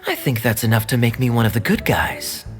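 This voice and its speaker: A woman voicing a composed, gently teasing young thief in a video game cutscene. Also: very deep voice, sing-song, a little fast. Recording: game dialogue over music.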